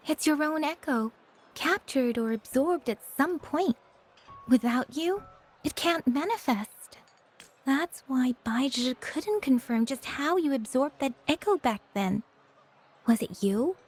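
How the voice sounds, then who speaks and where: A calm, high-voiced explainer. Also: female voice, slightly rough. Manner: measured, explanatory, unhurried. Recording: game dialogue with soft music.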